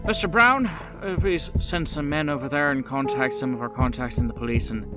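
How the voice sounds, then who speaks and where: A man voicing a gruff mob boss in a tabletop game, businesslike and dismissive, with old-fashioned slang. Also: medium pitch, normal speed, music in the background, close to an everyday voice. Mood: commanding. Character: gruff male mob boss and bar owner, needling, businesslike.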